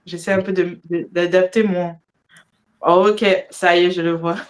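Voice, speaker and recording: A woman's voice: fairly low pitch, fairly even intonation, moderate pace. Woman hosting, with hesitant delivery. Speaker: host of a religious discussion show. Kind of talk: hosting a live online talk, partly reading out notes.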